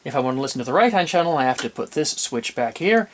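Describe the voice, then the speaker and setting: A man's voice: mid-range voice, animated, quick pace, slight background noise. Methodical man giving a demonstration. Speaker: presenter demonstrating vintage audio gear. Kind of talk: unscripted demonstration narration.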